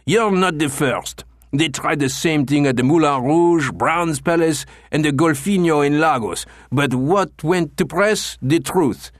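A man's voice: a little high, a little slow, few pauses, a bit bright, no echo, clean studio sound, voice pushed up. A man doing an irate, nasal old French Canadian critic. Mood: raging indignant. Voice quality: thin, nasal, indignant.

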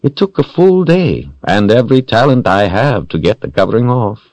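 A man voicing a smug, villainous sorcerer in an audiobook, taunting and deliberate, with theatrical mock courtesy. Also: mid-range voice, very animated, darkish tone, no echo, pitched above the performer's own voice, natural voice. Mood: boastful. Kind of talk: audiobook character voice.

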